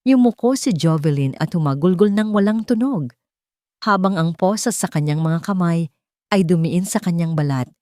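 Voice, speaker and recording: A woman narrates a dramatic love story as a scripted piece. Her voice sits on the low side for a woman. The sound is very dry, with no room around it. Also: darkish tone, no room sound.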